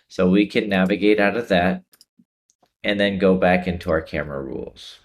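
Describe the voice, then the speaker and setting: A man presents a software tutorial, explaining each step in an informal way. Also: sits low, normal speed.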